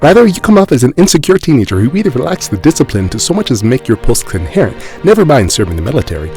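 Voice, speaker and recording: Man playing a quick, sardonic, articulate debunker. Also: medium pitch, very animated, a little mellow. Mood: scathing, analytical. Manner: blunt, dry, analytical. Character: sardonic, articulate forum member dismantling a braggart's claims. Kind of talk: dramatic reading of a written rebuttal. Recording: music under the voice.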